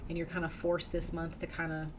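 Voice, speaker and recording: A woman with a low voice talks through a tarot reading in a loose, chatty way, full of ums and you knows. She sounds a little nasal and sniffly from a cold. The recording carries clear background noise. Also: monotone, fairly quick. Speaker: tarot reader. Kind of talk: casual tarot reading to camera.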